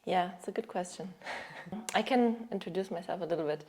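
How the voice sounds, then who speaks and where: A woman in her thirties from Germany, a yoga teacher chatting casually in a podcast interview. She speaks in a slightly low voice and often stops to search for words or restart a phrase. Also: brisk, a little mellow, a little hiss or hum.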